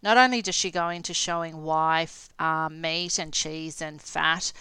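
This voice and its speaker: A woman's voice: deep voice, fairly even intonation, a little slow, pushed and bright, smooth, dry recording. A woman in her late forties with an Australian accent, talking casually and candidly as a host and coach sharing her own story. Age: late 40s. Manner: conversational, candid. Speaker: podcast host and health coach. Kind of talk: casual solo talk, personal storytelling.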